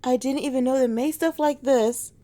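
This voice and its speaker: A woman's voice: high voice, fairly even intonation, moderate pace, warm tone, close to an everyday voice. A woman playing a teasing alpha she-wolf who is scared of heights and easily flustered, in a romantic ASMR roleplay. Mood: excited, admiring.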